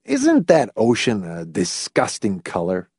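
A man's voice: on the low side, wildly swooping pitch, unhurried, a little mellow, slightly rough, no room sound, studio quality, full character voice. A man reading a story, voicing a wry character who talks with forced heartiness and mock carelessness. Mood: wry hearty.

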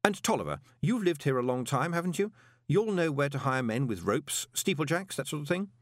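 A man's voice: a little high, expressive, a little fast, dry recording, studio quality, voice pushed up, close to an everyday voice. A man voicing a polite, confident young conman postmaster with a glib, persuasive manner in an audiobook. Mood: brisk, polite. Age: young adult.

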